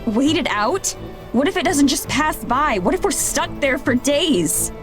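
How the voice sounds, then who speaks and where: A woman's voice: high voice, lively intonation, a little slow. A woman playing a cautious, skeptical young film crew member in a visual novel, pointed and wary in delivery. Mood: alarmed. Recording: music behind the voice.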